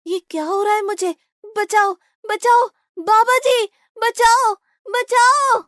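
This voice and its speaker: High-pitched grumbling Brahmin cook played by a woman. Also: steady tone, takes it slowly, a bit bright, no echo, studio quality, heavily acted. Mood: panicked. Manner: blunt and complaining. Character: greedy, grumbling, bossy male Brahmin cook, simple-hearted devotee. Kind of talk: Hindi cartoon moral-story acting.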